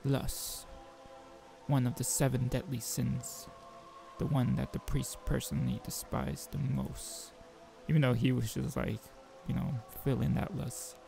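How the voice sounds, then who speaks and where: A man with a gravelly voice reading a visual novel aloud as a game player, mixing narration with informal joking asides. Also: medium pitch, fairly even intonation, a little slow, warm tone, faint music behind, rough recording. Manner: informal, joking commentary between read passages.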